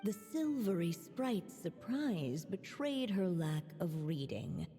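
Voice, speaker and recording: A woman's voice: lowish voice, sing-song, music in the background. Stately storybook witch, echoing reverb. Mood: solemn, stately. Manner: measured, solemn, literary. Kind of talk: scripted storybook narration in a video game. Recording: long hall-like reverb.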